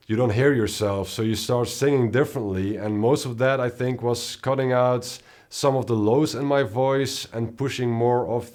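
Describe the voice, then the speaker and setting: A man with a low voice and a non-native accent, answering viewer questions in a rambling, relaxed way. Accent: non-native English accent. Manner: rambling, relaxed, many fillers. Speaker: musician and video host. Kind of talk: casual Q&A vlog.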